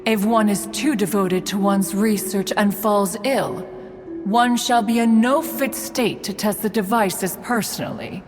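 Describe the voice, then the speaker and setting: A woman's voice: medium pitch, unhurried, close to an everyday voice. Woman voicing a stately, formal ancient mountain spirit. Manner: archaic formal speech, refers to self as one. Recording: game audio with reverb and music.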